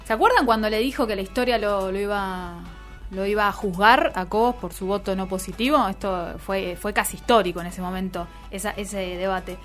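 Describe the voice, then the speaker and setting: A woman's voice: medium pitch, fairly quick, faint music behind. A woman with an Argentine accent hosting a radio show, chatting casually about politics. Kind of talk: casual political commentary chat.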